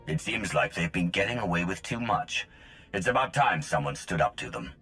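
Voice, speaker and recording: Game villain: cold Sith, deep voice, commanding and terse, varied intonation, music behind. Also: male voice, very animated, normal speed, sharp tone, exaggerated voice, rough recording. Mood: probing. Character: menacing, imperious adult male Sith, cold and commanding. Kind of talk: video game dialogue acting.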